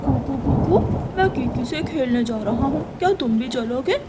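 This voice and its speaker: A woman's voice: high-pitched, expressive, moderate pace, heavy background noise. A woman voicing a sly, brash young boy crow in a children's cartoon story. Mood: casual. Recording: loud rain and thunder behind.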